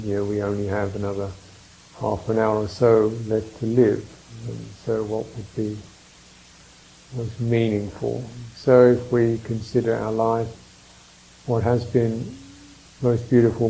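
Male meditation guide: very slow, level delivery, reflective and contemplative, leaves space between phrases. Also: lowish voice, warm tone, low-quality recording. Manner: contemplative, unhurried phrasing with reflective pauses and murmured mms. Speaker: meditation teacher.

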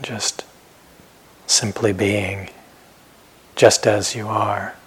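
A man giving guided meditation instructions as a teacher, speaking gently and unhurriedly with pauses and phrases that trail off softly. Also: fairly low pitch, level tone, husky. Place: meditation retreat center.

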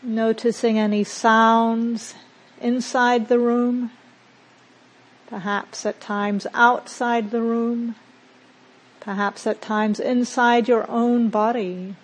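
Slow-speaking woman guiding meditation. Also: fairly high pitch, level tone, rough recording. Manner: gentle, unhurried, with long pauses between phrases. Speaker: meditation teacher. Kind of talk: guided mindfulness meditation.

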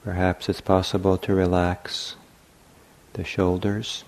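A man with a deep, mellow voice guides a meditation. He speaks very gently, in short phrases separated by long pauses. His delivery is markedly slow and unhurried, like a teacher leading a practice. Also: monotone.

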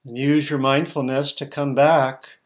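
A man teaching meditation, giving gentle guided instructions in halting, spaced-out short phrases. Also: mid-range voice, flat delivery.

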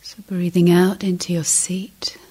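Very slow, hushed woman guiding meditation. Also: fairly low pitch, flat delivery, husky. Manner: unhurried, measured, in short phrases. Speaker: meditation teacher. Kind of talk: guided meditation, slow contemplative speech with long pauses.

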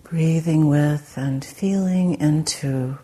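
Woman, meditation teacher, low mellow voice, unhurried, breathy and gentle, long pauses. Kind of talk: guided meditation.

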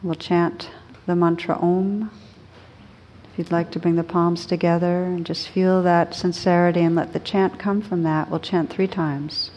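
Mellow, even-toned woman guiding meditation. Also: lowish voice, muffled recording, a little hiss or hum. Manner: gentle, unhurried, pausing between short phrases. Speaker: meditation teacher. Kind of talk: guided meditation.

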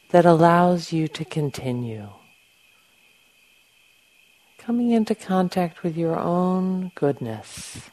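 A woman leads a guided meditation in a low, mellow voice. She speaks very slowly and gently, leaving long pauses between short phrases as she instructs. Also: rough recording. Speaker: meditation teacher.

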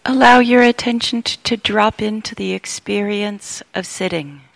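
A woman teaching meditation, giving gentle, unhurried guided instructions with long pauses between phrases. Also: mid-range voice, vocal fry, no room sound, poor audio.

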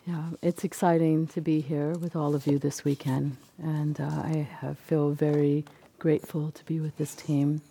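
Very low, gravelly woman's voice. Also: little pitch movement, normal speed, few pauses, dark tone, slight background noise. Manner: checks if she is heard, friendly welcome. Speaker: teacher trainee assisting a retreat.

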